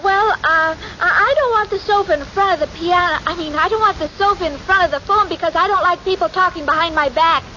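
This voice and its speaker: A woman's voice: very high voice, a little fast, hardly any pauses, bright, forceful tone. A woman playing a sweet, scatterbrained young roommate in 1940s radio sitcom style, hesitant and naively earnest. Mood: flustered. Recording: muffled, noisy 1940s radio recording.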